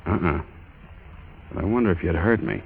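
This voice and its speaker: Hard-boiled, wry radio sea captain. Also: male voice, deep voice, sing-song, moderate pace, gravelly, phone-quality sound, a little hiss or hum, full character voice. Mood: low, flirtatious. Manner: dry, wisecracking, hard-boiled. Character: tough, wry sea captain narrating his own adventure. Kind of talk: 1940s radio noir acting with hard-boiled first-person narration. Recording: old radio broadcast with music behind.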